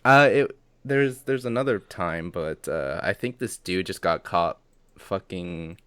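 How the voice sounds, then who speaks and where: A young man chats on a casual podcast in a fairly low voice. He talks in loose slang, gossiping and tossing in exclamations as he goes. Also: a little slow, a bit bright, clean tone, no room sound. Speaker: podcast co-host.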